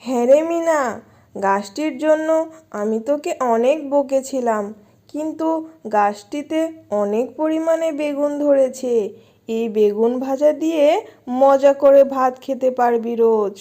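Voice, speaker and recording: A woman's voice: sits high, a little slow, dark tone. A woman voicing motherly storybook creatures, a fox mother and a magic tree, in a children's cartoon. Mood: fond.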